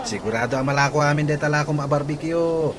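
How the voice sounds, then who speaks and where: Man acting a cheeky, teasing husband, loud background noise. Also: fairly high pitch, sing-song, fairly quick. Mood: playful teasing. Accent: Ilocano. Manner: playful, teasing. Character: teasing, girl-ogling husband selling barbecue. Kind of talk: Ilocano radio comedy drama acting. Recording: loud noise, birds and vehicles behind.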